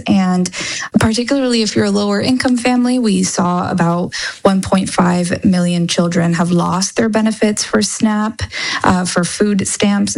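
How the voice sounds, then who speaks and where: A woman reporter explains things in a radio interview. Her voice is medium in pitch with plenty of gravelly fry, and her delivery is conversational. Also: low-quality recording. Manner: conversational, seeks agreement with "right?". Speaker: economy reporter being interviewed.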